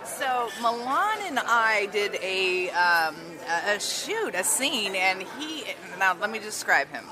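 A woman's voice: mid-range voice, very animated, slow pace, a crowd in the background. Giggly flirtatious woman host. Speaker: podcast host. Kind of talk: casual chatty interview. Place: hotel restaurant.

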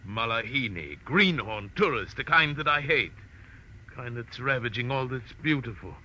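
A man's voice: mid-range voice, animated, moderate pace, bright, forceful tone, poor audio. An actor playing a towering, possessive, hot-tempered island man, declaiming pompously and with threat in 1940s radio drama style. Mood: angry, possessive.

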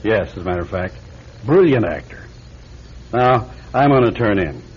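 Male actor as formal movie director, lowish voice, courteous but weary, radio play, slightly lo-fi. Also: lively intonation, a little mellow, close to an everyday voice. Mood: coolly courteous. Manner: formal, measured, politely dismissive. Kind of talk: radio drama acting. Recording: dull radio recording, faint noise.

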